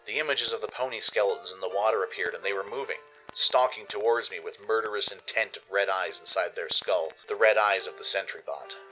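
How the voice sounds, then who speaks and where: A man's voice: on the low side, fairly quick, few pauses, sharp tone, soft background music, rough recording. A man giving dramatic first-person scripted narration as the narrator of a radio play.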